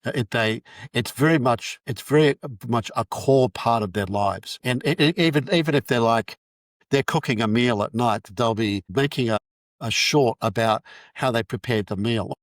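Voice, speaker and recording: A man's voice: mid-range voice, moderate pace, dry recording, clean studio sound. Rambling middle-aged male podcast host. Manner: rambling, many restarts and fillers. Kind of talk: casual interview chat.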